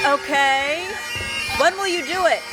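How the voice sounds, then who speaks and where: Impatient comedy woman, extremely high pitch, blunt, baffled, exasperated, loud bagpipes behind. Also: unhurried, loud music behind the voice, poor audio. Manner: blunt, exasperated, calling out questions. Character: baffled, impatient woman who mishears everything. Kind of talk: audio comedy drama acting.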